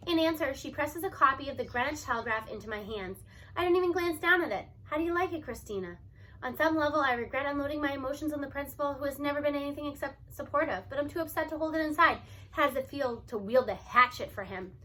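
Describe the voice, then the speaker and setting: Female story narrator, high pitch, bright tone, animated, emphatic read-aloud delivery. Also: fast, poor audio. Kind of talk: expressive first-person audiobook narration.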